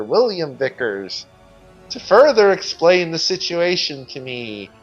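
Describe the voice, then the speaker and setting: A man's voice: fairly high pitch, natural voice. A man putting on an old person's voice to read a written account aloud with mock drama. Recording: dull lo-fi sound with music behind.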